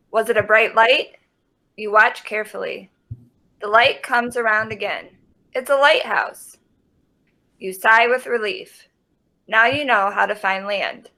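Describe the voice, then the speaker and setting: A woman's voice: medium pitch, measured pace, long pauses, sharp tone, low-quality recording. A woman reading a children's nonfiction book aloud.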